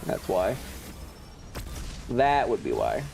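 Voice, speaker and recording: A man's voice: on the low side, huge pitch swings, takes it slowly, gravelly, darkish tone. A man casually commentating a video game on a livestream and chatting with viewers, sweary and dramatically exasperated. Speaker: streamer. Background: video game music.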